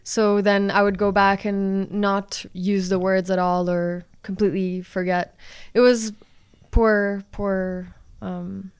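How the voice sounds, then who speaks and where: A young Portuguese-born woman talks loosely in English with a smooth, clear voice at a middling pitch. She reaches for words and laughs easily. The sound is close and dry, recorded on a desk mic in a small studio. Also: level tone, slow pace, hardly any pauses, dry recording. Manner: chatty, searching for words, laughs often. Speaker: podcast guest. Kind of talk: casual chat. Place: small studio room with dark walls. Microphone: desk microphone on boom arm.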